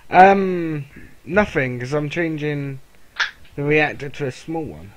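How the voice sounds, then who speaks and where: Slow-talking Cornish man gaming. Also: on the high side, animated. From Cornwall. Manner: laughs often, playful and teasing. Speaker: game player. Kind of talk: casual banter while gaming.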